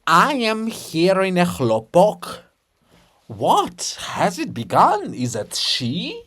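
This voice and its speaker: A man's voice: high voice, wildly swooping pitch, slow, fairly bright tone, breathy edge, voice pushed up, exaggerated voice. A man voicing a fanatical monument of a writer, ranting pompously and declaiming in a dramatic farce reading. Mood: excited.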